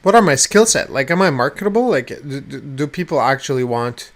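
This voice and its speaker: A man's voice: medium pitch, brisk. A man whose first language is not English, ranting at his webcam in a rambling way, swearing often and restarting his sentences. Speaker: vlogger. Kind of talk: rambling personal rant. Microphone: webcam at desk.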